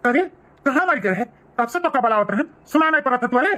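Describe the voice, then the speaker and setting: A man's voice: very high-pitched, lively intonation, fairly quick, bright, forceful tone, heavily acted. A man voicing a bullying, harsh village relative in a comedy cartoon, scolding and sneering with a Bhojpuri accent. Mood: angry scolding.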